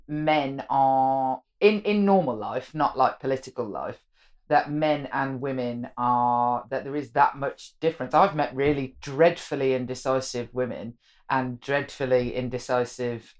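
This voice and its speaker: A woman politician, talking frankly in a casual podcast chat. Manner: frank, swears casually, says she has a deep voice for a woman.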